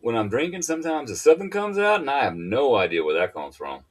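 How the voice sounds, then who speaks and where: A co-host in a casual live chat, making dry, deadpan remarks. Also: mid-range voice, fairly quick.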